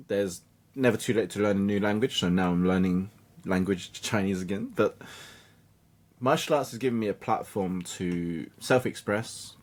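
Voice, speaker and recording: A man whose first language is Cantonese talks through his upbringing as a podcast guest. His voice sits fairly low, and he slips into lively impressions of the people he quotes. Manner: animated, acts out quoted voices of family and peers. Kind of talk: conversational interview storytelling.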